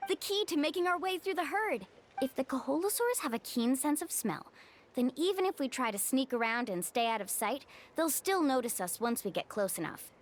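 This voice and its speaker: A woman's voice: high voice, big pitch swings, brisk, slight background noise. A woman playing a spirited, friendly young guide in a video game, speaking in an upbeat, confident way.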